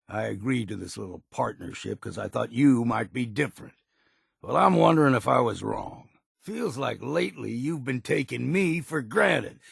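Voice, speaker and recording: A weathered old hunter speaks with a deeply creaky, raspy voice. He is blunt, proud and weary, a game character with a folksy, plain-spoken drawl. The sound is very dry, with no room around him. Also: fairly bright tone, no echo, heavily acted. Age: older. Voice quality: gravelly, husky. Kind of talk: video game character dialogue.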